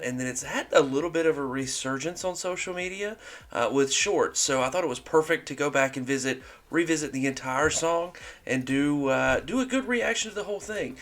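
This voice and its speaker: A man's voice: fairly high pitch, a bit bright. A man hosting a music reaction show, chatting casually and upbeat between his comments. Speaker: host of music reaction channel.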